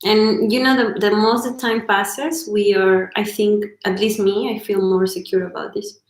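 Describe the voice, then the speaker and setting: A woman with a Peruvian Spanish accent answers questions in English, giggly and hesitant as she searches for words. Her voice has a middle pitch for a woman. The room is echoey. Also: steady tone, moderate pace. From Peru. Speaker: guest, host's girlfriend. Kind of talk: casual chat.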